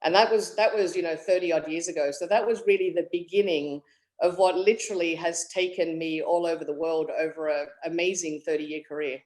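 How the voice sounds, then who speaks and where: A woman's voice: fairly low pitch, steady tone, a little fast, a little mellow, rough recording. A woman in her late forties with an Australian accent, chatting upbeat as a guest in a virtual interview. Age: late 40s. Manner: chatty and upbeat, many fillers. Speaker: guest, tech executive.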